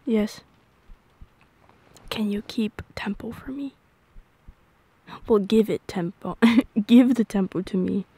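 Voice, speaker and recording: A woman doing an intimate girlfriend roleplay in ASMR style, soft and breathy, often dropping into a whisper, with a playful, dorky manner. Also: medium pitch, takes it slowly, long pauses.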